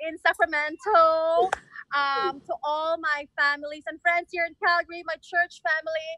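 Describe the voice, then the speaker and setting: A woman's voice: very high-pitched, steady tone, normal speed, bright, forceful tone, no echo, poor audio. A woman from the Philippines with a Filipino accent, talking casually and earnestly as an interview guest, often saying you know. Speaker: guest, creative designer.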